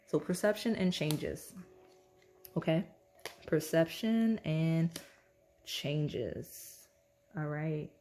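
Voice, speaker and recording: Woman doing a chatty tarot reading, kinda hoarse, slight rasp, lowish voice. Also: very slow, long pauses, warm tone. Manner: chatty, repeats "okay?" often. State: hoarse, losing her voice. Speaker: tarot reader. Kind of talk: casual pick-a-card tarot reading.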